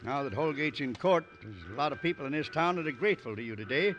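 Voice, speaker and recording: A man's voice: a little high, expressive, fast talker, a bit bright. An actor playing a plainspoken, grateful western townsman in vintage radio drama style. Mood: sober, grateful. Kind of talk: 1950s radio western acting.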